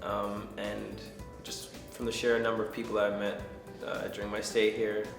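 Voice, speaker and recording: A man's voice: lowish voice, monotone, normal speed, vocal fry. A young man from Jamaica, a culinary student and chef talking earnestly in an interview testimonial, with frequent ums. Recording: echoey room with background music.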